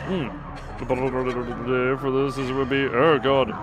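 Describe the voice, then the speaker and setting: Casting: male livestream host, medium pitch, chatty game commentary over prominent game music. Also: level tone, extremely slow. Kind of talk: livestream game commentary, reading story text aloud and chatting.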